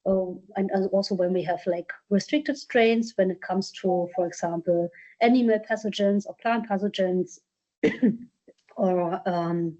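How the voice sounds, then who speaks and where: Woman answering workshop questions, lots of ums and likes, mellow voice, kinda muffled audio. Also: mid-range voice. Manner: hesitant, many fillers and restarts. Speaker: presenter from a culture collection. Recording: dull lo-fi conference audio.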